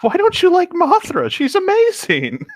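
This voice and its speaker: A man's voice: very high-pitched, huge pitch swings, normal speed. A man chatting casually among friends.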